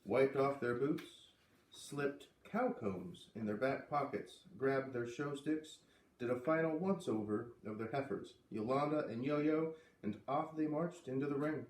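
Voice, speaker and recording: A man's voice: medium pitch, a little slow, recorded from a distance. A man reading a children's storybook aloud expressively, acting out the dialogue lightly. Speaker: reader of a children's book read-aloud series. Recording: roomy, voice away from the microphone.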